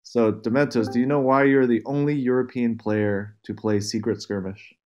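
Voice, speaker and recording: A man's voice: mid-range voice, steady tone, normal speed, darkish tone, lo-fi sound. An easygoing man reading out questions and chatting casually as interviewer. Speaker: interviewer reading fan questions, team executive. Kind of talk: casual Q&A chat.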